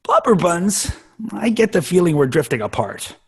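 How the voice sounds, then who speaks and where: A performer playing a clingy, lovesick, nerdy young boy with a husky voice and melodramatic delivery in a sitcom table read. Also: medium pitch, very animated, moderate pace. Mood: wounded. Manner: melodramatic, swooping between pleading and wailing.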